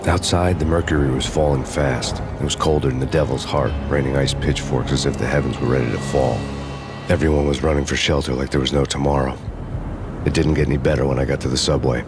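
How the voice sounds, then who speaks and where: An actor playing a world-weary undercover cop who narrates a noir story in a dry, sardonic way. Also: male voice, a bass voice, steady tone, fast talker. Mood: grim. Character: grim hard-boiled male undercover cop narrating. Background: music.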